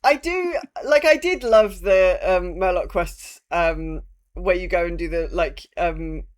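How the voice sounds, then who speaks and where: A woman's voice: on the low side, takes it slowly. A woman co-hosting a podcast, chatting casually and reading out listener messages. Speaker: podcast co-host. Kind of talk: casual chat.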